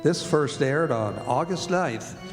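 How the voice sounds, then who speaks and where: A man's voice: on the high side, expressive, takes it slowly. A man reading as an old-time radio announcer, with scripted delivery of ads and introductions. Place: performing arts center stage. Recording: hall reverb, music behind.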